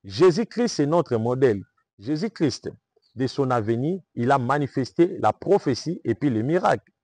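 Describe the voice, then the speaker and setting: Emphatic Congolese preacher, dry sound. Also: male voice, mid-range voice, very animated, normal speed, darkish tone, no echo. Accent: Congolese French (Kinshasa). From Congo (Kinshasa). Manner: emphatic, repetitive, rhetorical questions. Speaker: prophet and preacher. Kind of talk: preaching-style interview answers.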